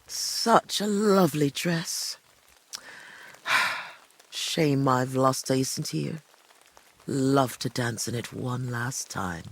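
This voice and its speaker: An actress playing a frail, wistful old village widow in a medieval video game, speaking in a halting, fond way. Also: female voice, extremely low pitch, lively intonation, full character voice. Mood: wistful, weary. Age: older. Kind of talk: dramatic video game dialogue.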